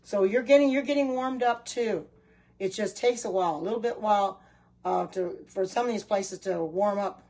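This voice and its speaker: A woman's voice: mid-range voice, normal speed, warm tone, low-quality recording. A middle-aged woman chatting casually on a livestream, reading out and answering viewer comments as she goes. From Oregon. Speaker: livestream host and kitchen products seller.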